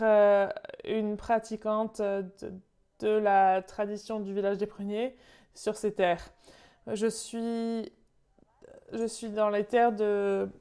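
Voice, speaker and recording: A woman interpreting live in an online meeting over a video call, halting and searching for words as she goes. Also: medium pitch, dark tone, clean tone.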